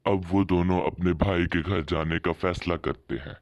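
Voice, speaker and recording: A man's voice: low-pitched, steady tone, normal speed, lo-fi sound. A man reading scripted storytelling narration for a moral tale.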